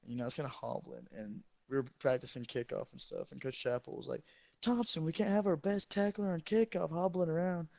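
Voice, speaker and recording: Gravelly teenage guy, muffled echoey mic. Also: on the high side, brisk. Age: teenager, high schooler. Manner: crude, joking, rambling. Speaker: high school football player telling stories. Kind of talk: casual anecdote telling among friends.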